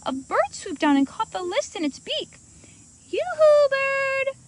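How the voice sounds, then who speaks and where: Lady reading a picture book out loud to kids, high voice, really animated. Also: normal speed, slight background noise. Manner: animated, talking to young listeners. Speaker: storybook reader and host. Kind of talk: expressive children's read-aloud.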